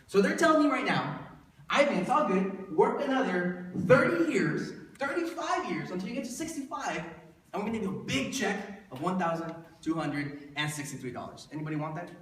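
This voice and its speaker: Man, 30, motivational speaker, conversational and questioning, somewhat high voice, heavy room echo. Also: sing-song, fairly quick, fairly bright tone. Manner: conversational, rhetorical questions to listeners, repeats for emphasis. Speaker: motivational presenter pitching a business plan. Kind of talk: motivational presentation to an audience. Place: indoor room before an audience. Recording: echoey room, distant mic, faint noise.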